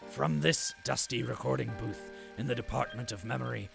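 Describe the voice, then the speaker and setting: An actor playing a grandiose older company loyalist, solemn and ornate, with a gravelly voice, delivering an audio drama monologue. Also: male voice, mid-range voice, normal speed. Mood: fervent, grandiose. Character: bitter, grandiose older male company loyalist recording in exile. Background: music.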